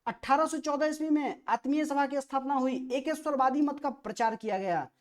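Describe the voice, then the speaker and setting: A woman's voice: high voice, moderate pace. A woman with an Indian accent teaching an exam-prep lesson, reading out questions and explaining answers with emphatic repetition of key phrases. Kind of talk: quiz-style history lesson, questions read out and explained.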